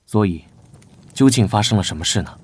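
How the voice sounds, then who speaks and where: A man playing a guarded, serious young knight vice-captain, terse and measured, in an animated comic dub. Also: on the low side, husky. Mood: calm, serious.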